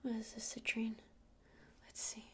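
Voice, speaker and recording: A woman playing a friendly witch shopkeeper in an ASMR roleplay, speaking in a soft breathy whisper with hesitant, informal explanations. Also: fairly high pitch, completely flat delivery, a little slow, natural voice. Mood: gentle, helpful.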